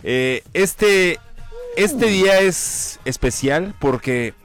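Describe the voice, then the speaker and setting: A man's voice: high-pitched, lively intonation, drawn-out words, fairly bright tone, faint music behind. A man, chatting casually about football on a show, rambling with many fillers. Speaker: sports commentator on a radio show. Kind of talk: casual sports chat.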